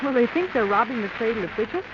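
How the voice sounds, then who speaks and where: A woman's voice: a little high, expressive, fast talker, lightly acted. Woman playing coy young femme fatale acting innocent, hesitant, old radio drama, dull noisy recording. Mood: coy, wistful.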